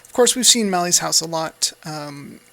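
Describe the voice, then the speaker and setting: A man's voice: a little high, expressive, moderate pace, creaky, fairly bright tone. A man giving casual, rambling gameplay commentary while losing his voice, correcting himself as he goes. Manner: rambling, self-correcting, light asides. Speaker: gaming channel host.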